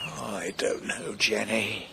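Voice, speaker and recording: A man playing a stubborn, proud older husband with an English accent, defensive and halting in a naturalistic radio drama. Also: fairly low pitch, level tone, creaky, background noise, heavily acted. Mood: subdued.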